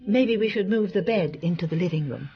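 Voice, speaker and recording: A woman's voice: fairly low pitch, animated, fairly quick, highs cut off. An actress playing a caring, watchful female companion in a radio drama, solicitous and insistent. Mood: concerned.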